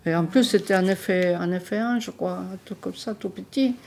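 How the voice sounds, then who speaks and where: An older woman from Chile telling her story in a halting way, searching for words. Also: lowish voice, creaky, darkish tone, a little hiss or hum. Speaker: interviewee. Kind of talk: personal testimony.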